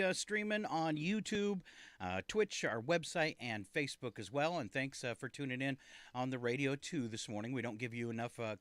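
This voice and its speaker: A man hosting a morning radio show, chatting casually with many "uh"s and quick jokes about the weather. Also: a little high, fairly bright tone, no echo. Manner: joking, easygoing, filler-heavy. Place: radio studio. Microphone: studio microphone.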